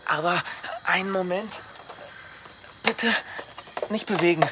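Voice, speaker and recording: An actor playing a dazed, obsessive filmmaker in a radio play, dreamy and distracted. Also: male voice, sits high, slow pace, heavy background noise. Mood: excited, distracted. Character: dazed, obsessive male filmmaker lost in jungle. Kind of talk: German radio play acting. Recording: loud music under the voice.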